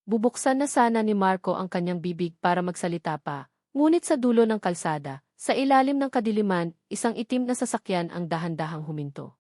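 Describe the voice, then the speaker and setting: A woman narrates a scripted story dramatically, in short, measured, suspenseful phrases. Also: mid-range voice, animated, a little mellow, no room sound, clean studio sound.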